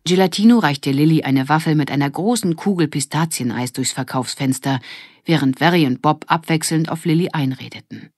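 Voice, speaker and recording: A woman's voice: low-pitched, a little fast, darkish tone, slightly rough, dry recording, clean studio sound. A woman narrating a scripted children's story as an audiobook reader.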